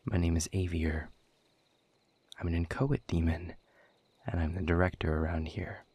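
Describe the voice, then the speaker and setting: A man playing a sardonic, world-weary demon director in a scripted audio roleplay, speaking in dry, sarcastic, wordy drawls with a husky, breathy low voice. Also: steady tone, moderate pace, darkish tone, no room sound. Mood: wry, mocking. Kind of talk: scripted audio roleplay monologue addressed to the listener.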